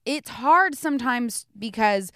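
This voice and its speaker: A woman talks about a film she loved in an easy, emphatic podcast chat. Her voice sits a little high and comes through smooth and resonant. The recording is dry, with no room around her. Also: animated, unhurried, fairly bright tone, no room sound. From North America. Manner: enthusiastic, emphatic. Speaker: podcast co-host. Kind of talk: casual film review chat.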